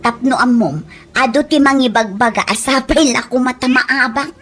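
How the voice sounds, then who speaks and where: A woman's voice: high-pitched, normal speed, husky. An actress playing a boastful, mocking gossipy woman in an Ilocano radio comedy drama. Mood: scornful.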